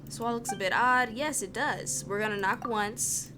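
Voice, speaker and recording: A woman's voice: a little high, normal speed, background noise. A woman streaming a game, thinking aloud in informal, muttered commentary to herself, with music behind her.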